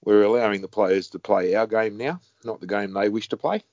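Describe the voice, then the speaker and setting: Blunt head ref, deep voice, dry muffled sound. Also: male voice, a little fast, a little mellow, no echo. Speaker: head referee. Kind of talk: casual conversation.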